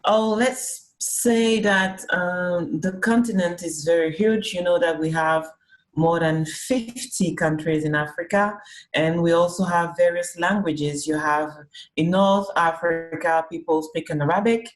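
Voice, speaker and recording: A woman from Cameroon, a comics artist and festival organizer, answering interview questions over a video call, unhurried, often rephrasing as she thinks. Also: fairly low pitch, faint music behind, poor audio. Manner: speaks slowly and searchingly, with fillers and rephrasing. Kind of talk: remote interview, thoughtful casual answers.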